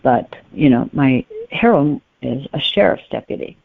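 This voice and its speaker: A woman's voice: very deep voice, expressive, moderate pace, vocal fry, husky, no echo. Woman author being interviewed over a narrow phone line, dry sound, explains stuff and qualifies as they go. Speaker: guest novelist.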